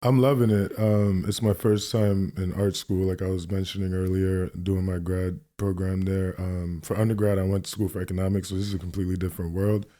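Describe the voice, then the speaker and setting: A young man from New York City speaks in a low voice, relaxed and conversational. He tumbles through fillers and restarts as he chats through an interview. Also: fairly quick, few pauses. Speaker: guest artist.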